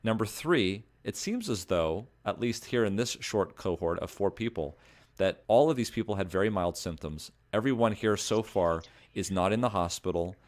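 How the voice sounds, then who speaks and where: Deep-voiced man giving a measured update. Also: animated. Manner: measured, explanatory. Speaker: presenter of an update. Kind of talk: informational update.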